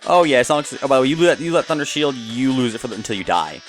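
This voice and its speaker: A man's voice: mid-range voice, very animated, fast. Male gameplay host, mid-range voice, loose rambling commentary full of tangents, music playing behind him. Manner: rambling, digressive, jumps between asides. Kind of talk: casual off-the-cuff gameplay commentary. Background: game music.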